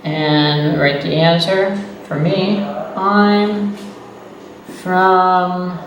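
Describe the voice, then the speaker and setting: A man, teaching beginner English slowly and patiently, repeating phrases and checking in with "okay" and "right". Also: high voice, level tone. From Texas. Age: 30s. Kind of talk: slow, deliberate beginner English lesson. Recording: echoey room, voice far from the mic, faint noise.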